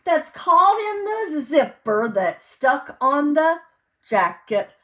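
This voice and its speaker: A woman's voice: high-pitched, sing-song, slow pace, a bit bright. A woman teacher reading a picture book aloud to young children at home, in a sing-song way, explaining simply and asking them questions. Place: indoors at home.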